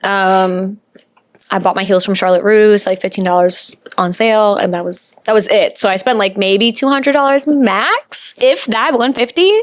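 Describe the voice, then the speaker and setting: Podcast guest: American woman, twenties, chatty and slangy, mid-pitched, recorded dry. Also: steady tone, moderate pace, no room sound. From New York, USA. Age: 20s. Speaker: podcast guest, pageant titleholder. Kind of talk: casual chat.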